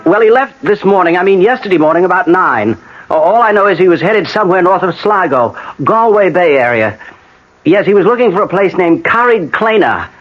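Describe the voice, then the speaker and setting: A man playing a worried, flustered husband in a radio drama, agitated and halting. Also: sits high, animated. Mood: agitated, pleading. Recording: dull, hissy old radio broadcast.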